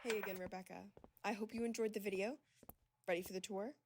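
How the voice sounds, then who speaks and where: Woman playing a welcoming clinic guide, slightly creaky. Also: medium pitch, steady tone, fast, a little mellow, a little hiss or hum, close to an everyday voice. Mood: welcoming, upbeat. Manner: welcoming, reassuring, polished. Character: friendly, reassuring female clinic staffer guiding a patient. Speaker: staff member welcoming a patient. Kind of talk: radio drama acting, conversational.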